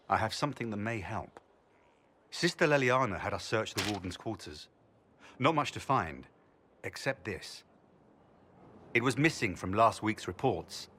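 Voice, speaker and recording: A man playing a dutiful scout in a video game, giving a measured, formal report. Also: lowish voice, bright, forceful tone, a little hiss or hum. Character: dutiful, businesslike male scout reporting to his superior.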